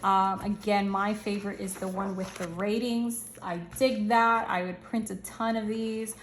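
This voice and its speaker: A woman hosting a casual planner review, chatty and upbeat with slangy asides, her voice going a little hoarse. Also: medium pitch, little pitch movement, a little slow, echoey room, slight background noise. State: losing her voice, slightly hoarse. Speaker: channel host reviewing planners. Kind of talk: casual product review walkthrough.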